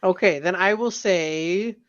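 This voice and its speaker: A man's voice: high-pitched, takes it slowly, fairly bright tone, smooth, dry recording. A muffled, dry recording of a man bantering on a podcast. He is playful and joking in a casual chat, and the lo-fi mic takes the edge off his voice. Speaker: podcast co-host.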